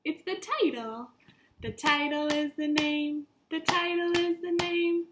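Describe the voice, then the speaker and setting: A woman's voice: high-pitched, takes it slowly, background noise. A woman teacher reading a story aloud to young children, with simple, instructive phrasing and repetition.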